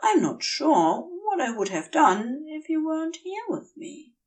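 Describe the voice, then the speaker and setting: A woman voicing a gentle, adoring male celestial being, earnest and tender in a story reading. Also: high-pitched, sing-song, a little slow, recorded from a distance, voice pushed up. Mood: earnest, emotional.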